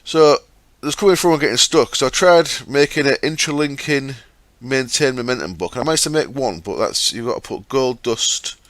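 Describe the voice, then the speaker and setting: A man hosting a gaming channel, explaining his setup step by step in a chatty way with fillers, his throat sore and croaky. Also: medium pitch, animated, moderate pace, hardly any pauses, a bit bright, no room sound. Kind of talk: casual game commentary.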